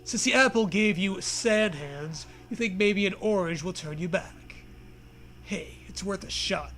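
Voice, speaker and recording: A man's voice: sits high, slight background noise. A man co-hosting a comic read-aloud, reciting an absurd story in a mock-dramatic manner. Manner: mock-dramatic, swears casually. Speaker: co-host reading aloud.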